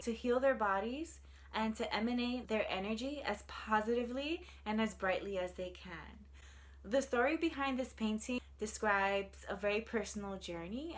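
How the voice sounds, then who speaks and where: A woman in her thirties from New York City, speaking in an earnest, reflective way as she tells her personal story for a video application. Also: mid-range voice. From New York City, United States. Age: 30s. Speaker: artist, social worker and fellowship applicant.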